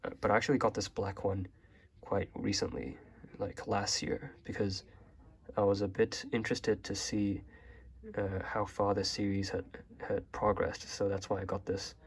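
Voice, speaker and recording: Young male vlogger from Hong Kong, native Chinese speaker; deep, monotone, slightly husky; relaxed and hesitant. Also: moderate pace. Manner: relaxed and rambling, many fillers and hesitations. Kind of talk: casual bookshelf tour.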